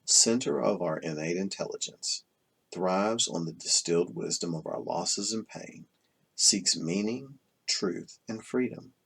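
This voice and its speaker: A man reading a self-help book aloud as scripted narration, in measured, deliberate phrases with pauses between them. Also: low-pitched, creaky, a bit bright, husky, poor audio. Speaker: audiobook reader.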